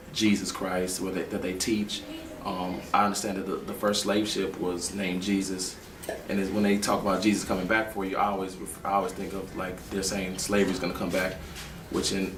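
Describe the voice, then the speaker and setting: A man in his twenties giving long, earnest interview answers. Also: deep voice. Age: 20s. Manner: earnest, explaining at length. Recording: roomy echo from a distance with faint noise.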